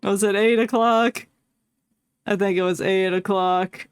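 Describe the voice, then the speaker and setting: A woman's voice: mid-range voice, steady tone, unhurried, clear, resonant tone. A woman doing casual, playful, giggly commentary as she plays through a game on stream.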